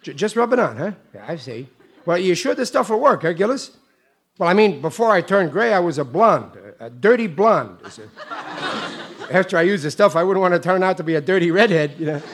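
A man's voice: high voice, animated, fairly quick, husky. A man playing a bumbling middle-aged working-class American husband in an old radio comedy, blustering and stammering. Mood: sheepish. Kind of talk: 1940s radio sitcom acting. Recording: old radio recording, audience laughter.

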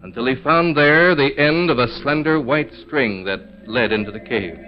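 A man narrating a scripted 1940s radio mystery in a formal, measured storytelling manner. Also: medium pitch, background noise. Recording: muffled 1940s radio recording.

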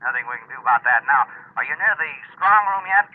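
An actor playing a brisk, businesslike salvage skipper in an old American radio drama, clipped and commanding with an American accent. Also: male voice, medium pitch, lively intonation, close to an everyday voice. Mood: intent, urgent. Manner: clipped, commanding, nautical turns of phrase. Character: brisk, businesslike male salvage skipper chasing sunken gold. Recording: muffled, narrow-band old radio recording.

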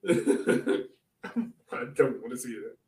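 A man's voice: medium pitch, expressive, drawn-out words, darkish tone, distant mic. Echoey, off-mic man in sports banter. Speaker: sports podcast co-host. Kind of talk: casual soccer banter and questions.

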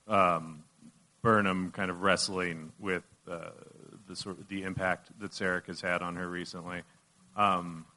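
A man's voice: on the low side, sharp tone. A man moderating a panel, asking questions with frequent hesitations. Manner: hesitant, many fillers. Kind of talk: interviewing on a panel.

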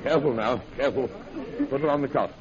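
An actor playing a brisk, concerned jungle doctor in an old radio adventure, giving urgent, exclamatory orders in a gravelly voice. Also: male voice, mid-range voice, dull audio, crowd noise, natural voice.

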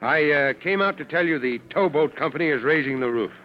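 An actor playing a brisk, easygoing senior fire chief with plain-spoken authority in an old-time radio drama. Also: male voice, fairly high pitch, close to an everyday voice. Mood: matter-of-fact. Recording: dull, faintly noisy old radio recording.

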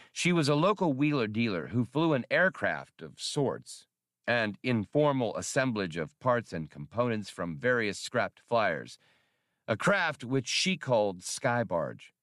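A man narrating a science fiction novel as an audiobook reader, measured and expressive. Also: fairly low pitch, no echo, clean studio sound. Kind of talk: scripted narration.

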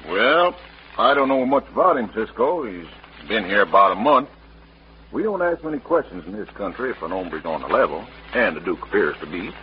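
Wary frontier sheriff played by a man, lively swoops. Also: on the low side, fairly quick, a little hiss or hum, close to an everyday voice. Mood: guarded. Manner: folksy, guarded. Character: wary, plainspoken small-town frontier sheriff. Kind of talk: old-time radio western acting. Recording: muffled, humming vintage radio recording.

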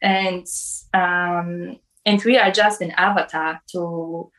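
A young woman from Poland, telling a personal spiritual experience in halting English with many fillers. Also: lowish voice, fairly even intonation, a bit bright, low-quality recording. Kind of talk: personal testimony.

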